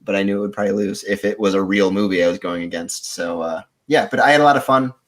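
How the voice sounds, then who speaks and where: A man's voice: lowish voice, fast talker. A man chatting casually as a contestant on a podcast. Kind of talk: casual chat.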